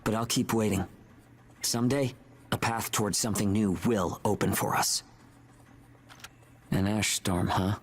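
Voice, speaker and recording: A man playing a quietly defiant, weary young soldier in a video game, speaking in an earnest, measured way. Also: on the low side, steady tone, slightly rough. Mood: reflective, hopeful. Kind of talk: video game cutscene voice acting. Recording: music behind the voice.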